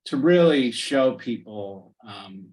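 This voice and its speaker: A man speaking as a panel guest with a steady, explanatory delivery. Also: mid-range voice, takes it slowly. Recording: distant from mic.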